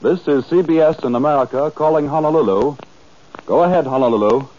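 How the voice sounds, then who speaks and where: Male radio network announcer, formal measured 1940s delivery, dull lo-fi broadcast sound. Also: a little high.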